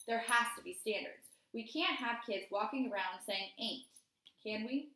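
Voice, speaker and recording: A woman voicing a mild, hesitant father in a storybook, speaking in a halting way. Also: fairly high pitch, pushed and bright. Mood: mild reproof. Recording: roomy, echoey indoor sound.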